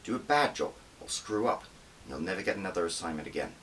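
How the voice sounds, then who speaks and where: A man's voice: low-pitched, very animated, fast talker, a bit bright, distant mic, a little hiss or hum, exaggerated voice. A man voicing a stern, weary older woman who runs an intelligence office, speaking in a dry, dismissive, commanding way for an audiobook. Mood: stern warning. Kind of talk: audiobook character dialogue.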